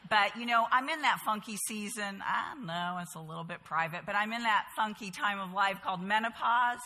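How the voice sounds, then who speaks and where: A woman's voice: mid-range voice, brisk, sharp tone. A woman in her fifties with a light Southern accent, teaching a women's Bible study in a chatty, conversational way with humorous asides. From Bahamas, later North Carolina. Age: 50s. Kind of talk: casual talk to a group, then spoken prayer and storytelling. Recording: echoey hall.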